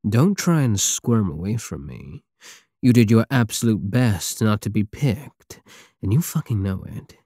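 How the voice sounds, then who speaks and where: A man's voice: lowish voice, very animated, mellow, dry recording, studio quality, exaggerated voice. A man playing a big, teasing, possessive space pirate king in an intimate, sardonic ASMR roleplay. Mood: intense, possessive. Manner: slow, teasing, purring endearments, sardonic.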